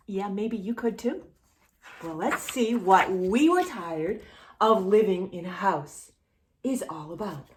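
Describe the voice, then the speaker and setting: A woman presents storytime for young children. Her medium-pitched voice moves through wide, expressive rises and falls. She takes her time, speaking slowly and clearly to the young listener as a read-aloud host would. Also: a little hiss or hum. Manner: animated, addresses the young listener with questions.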